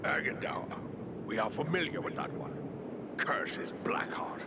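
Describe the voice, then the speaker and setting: A man's voice: animated, a little slow, whispered. A man playing a proud dwarf warrior, a lord's son, with formal, earnest speech in a video game. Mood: bitter, scornful. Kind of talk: video game cutscene acting. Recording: loud low rumbling noise behind the voice.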